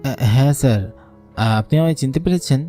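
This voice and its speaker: Man voicing a hesitant, mid-pitched village schoolboy. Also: expressive, quick pace, mellow, close to an everyday voice. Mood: hesitant, respectful. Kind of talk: dubbed horror cartoon dialogue. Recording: music under the voice.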